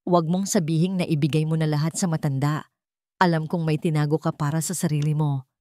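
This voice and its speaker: A woman's voice: low voice, fairly even intonation, a little fast, mellow, dry recording, close to an everyday voice. Violent, money-hungry thug for romance drama, angry and cocky, performed by a female narrator, dry sound. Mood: angry demanding. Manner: angry, threatening, boastful. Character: greedy, arrogant, violent man bullying others.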